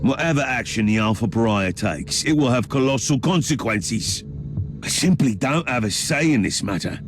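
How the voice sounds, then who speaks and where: An actor playing a courteous, dryly sardonic dignitary, formal and deliberate, in a theatrical game cutscene. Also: male voice, medium pitch, expressive, over music, natural voice. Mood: measured, diplomatic.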